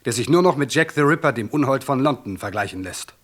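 An actor voicing an alarmist, indignant newspaper writer, declaiming an article in a radio play. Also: male voice, medium pitch, expressive, fast talker, a bit bright. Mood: alarmed, accusing. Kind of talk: dramatic reading of a newspaper article.

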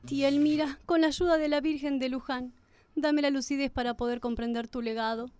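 An actress playing an earnest, polite, hesitant young niece in a radio drama. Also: female voice, high voice, steady tone, a little fast, darkish tone, soft background music, natural voice. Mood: imploring.

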